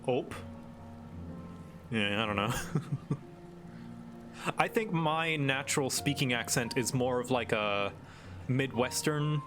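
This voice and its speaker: A man's voice: medium pitch, takes it slowly, long pauses. Laid-back American-accented man streaming. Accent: general American. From grew up in Thailand. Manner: rambling, laid-back, lots of "like" and restarts. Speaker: game streamer. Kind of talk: casual gaming stream chat. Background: game music.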